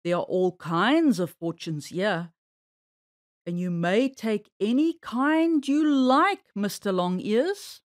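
Woman voicing a sly, slow-drawling storybook bear. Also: mid-range voice, lively intonation, no echo, clean studio sound. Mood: coaxing, boastful. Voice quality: harsh. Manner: coaxing, drawn-out, boastful. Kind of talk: children's storybook character voice.

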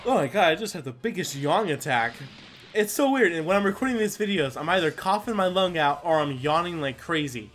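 A man's voice: fairly high pitch, animated, fairly quick, a bit bright, faint music behind. A man hosting a gameplay video with casual, rambling commentary, full of exclamations and mild swearing. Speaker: gaming video host.

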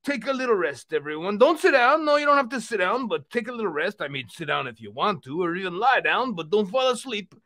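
Man as excitable, friendly male puppet workout host for a kids' show; animated pitch, bright delivery. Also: fairly quick, few pauses, exaggerated voice. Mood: excited, encouraging. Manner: exclamatory, repetitive, addresses the audience.

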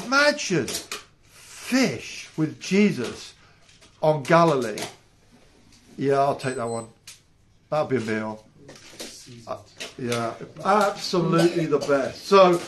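A man in his fifties, a house church pastor, preaching informally to camera from his living room. He is animated and emphatic and asks many rhetorical questions. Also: on the high side, slow pace, long pauses, a little hiss or hum. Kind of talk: informal home sermon to camera. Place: living room by patio doors.

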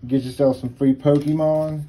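Mellow-voiced man opening card packs. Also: moderate pace, a little hiss or hum, poor audio. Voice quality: says he has a very deep voice. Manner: relaxed, chuckling, calls out card names. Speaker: channel co-host. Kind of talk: casual card-opening chat. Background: faint crinkling of card packs.

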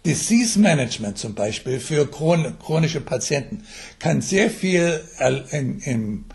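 An older man with a slightly high voice gives explanatory interview answers. He speaks haltingly and mixes English jargon into his sentences. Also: very animated, vocal fry, darkish tone. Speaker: mobile health expert being interviewed. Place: telephone interview.

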